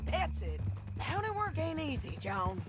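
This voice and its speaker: An actress playing a tough female bounty hunter who gives brisk orders. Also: high-pitched, very animated, music in the background. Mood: dry. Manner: commanding, brisk.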